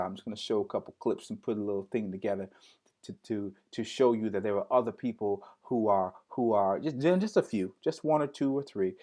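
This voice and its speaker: A man's voice: on the low side, dark tone. A man teaching a live-streamed Hebrew lesson, repeating each word and spelling it out letter by letter, often restarting as he talks straight to his viewers. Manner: drills words slowly, spells them out letter by letter.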